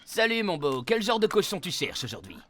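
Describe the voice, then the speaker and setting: A man voicing a smooth-talking, pushy salesman in an animated comedy dub, chummy and oily. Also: high voice, a little fast. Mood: slick.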